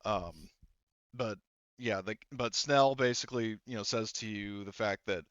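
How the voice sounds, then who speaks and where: A man narrates a tabletop game as game master in a smooth, clean voice. He explains at length, rambling and restarting as he improvises. The sound is close and dry, a touch dull. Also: lowish voice, measured pace, a bit bright, no echo. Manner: rambling, explanatory, self-correcting.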